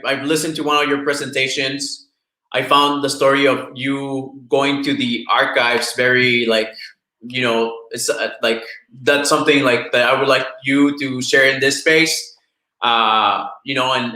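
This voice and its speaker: Halting host, bright voice, roomy sound. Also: male voice, medium pitch. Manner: halting, casual, many fillers and "like". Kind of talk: online talk show hosting.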